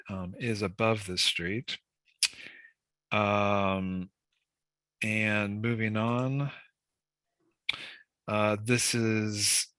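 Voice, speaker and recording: A man, a senior city planner, presenting a staff report at a public meeting, congested from a cold and talking in a measured way with frequent ums. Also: on the low side, bright, forceful tone, no echo.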